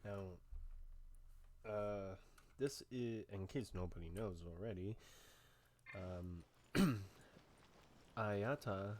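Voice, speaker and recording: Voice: low voice, very slow, long pauses, a little mellow, a little hiss or hum. A gamer of unclear sex giving casual, hesitant commentary on a playthrough in an offhand way.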